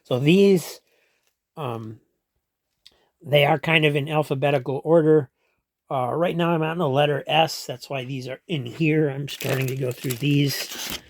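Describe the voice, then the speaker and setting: Hoarse man rambling about his shelves. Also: a little high, measured pace, no room sound. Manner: rambling, lots of ums and restarts, explanatory. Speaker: comic collector showing his collection. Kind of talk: casual walk-through vlog. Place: comic storage room.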